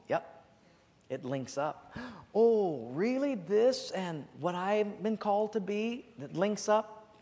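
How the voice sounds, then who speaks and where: A man preaching in an echoing hall. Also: darkish tone. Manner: preaching with big swings in pitch and volume, drops low for emphasis. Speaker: pastor. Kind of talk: sermon. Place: church sanctuary. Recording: long hall reverb.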